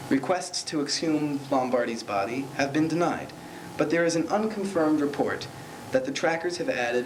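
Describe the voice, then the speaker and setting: A man's voice: medium pitch, close to an everyday voice. A man playing a hapless field sports correspondent in a parody newscast, with a formal reporter delivery and occasional stumbles. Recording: noisy amateur 1970s recording.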